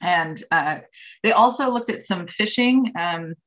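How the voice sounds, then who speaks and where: A woman in her thirties talks as an interview guest, with a low voice. She rambles through her answers with frequent ums and false starts. The audio is dull and lo-fi. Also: animated, unhurried, a bit bright. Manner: rambling, with many ums and restarts. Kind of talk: casual interview conversation.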